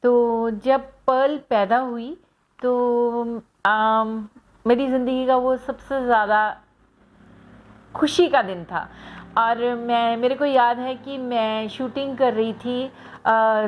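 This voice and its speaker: A middle-aged actress from Punjab talks to her viewers in a casual, personal way. Her voice sits a little high for a woman, and she speaks in an unhurried, reflective manner full of small pauses and fillers. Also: fairly even intonation, dull audio. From Hoshiarpur, Punjab, India. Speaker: actress answering fan questions. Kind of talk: casual personal talk to camera.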